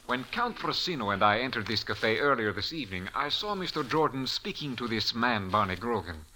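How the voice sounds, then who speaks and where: An actor playing an insinuating, formally polite aide to a count in a 1940s radio drama, oily and easily indignant. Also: male voice, medium pitch, big pitch swings, a little fast, bright, forceful tone, slight background noise, low-quality recording.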